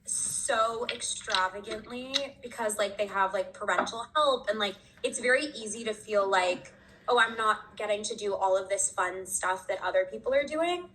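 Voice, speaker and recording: Young woman guest, bright and sharp tone, easygoing, full of fillers. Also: mid-range voice, normal speed, a little hiss or hum, rough recording. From Washington State and Connecticut. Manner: relaxed, filler-heavy, thoughtful. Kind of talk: casual chat.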